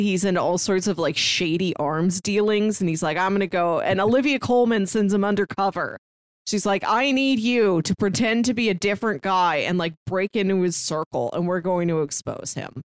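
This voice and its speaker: A woman's voice: on the low side, no echo. A woman podcast co-host chatting casually about films and shows in a wry, talkative way.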